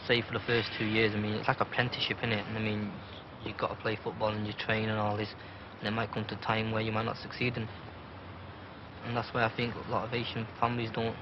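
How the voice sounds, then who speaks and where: A man chats through his interview answers, piling on little fillers as he goes. He talks fast in a flat, even tone. The recording is muffled 1980s audio with plain noise in the background. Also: on the low side. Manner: chatty, full of fillers. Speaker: interviewee, football fan.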